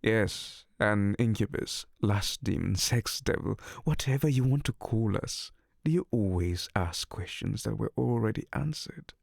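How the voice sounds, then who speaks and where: Male VA as a sarcastic incubus demon for ASMR audio, slow and gravelly, dry close mic. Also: lowish voice, lively intonation, no echo, full character voice. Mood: sarcastic, exasperated. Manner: theatrical, sarcastic and exasperated. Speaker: ASMR roleplay voice actor.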